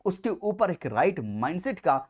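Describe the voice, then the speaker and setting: A man's voice: sits high, sing-song, moderate pace, slightly rough. A man with an Indian accent teaching as an exam coach, lecturing emphatically and repeating key points in mixed Hindi and English.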